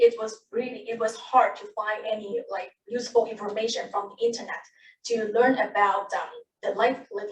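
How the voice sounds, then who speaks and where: A woman in her thirties, an English speaker, telling the story of how she became a medical interpreter at a panel, with many restarts and self-corrections. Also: on the high side. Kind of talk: personal account at a panel. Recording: echoey room, distant mic, faint noise.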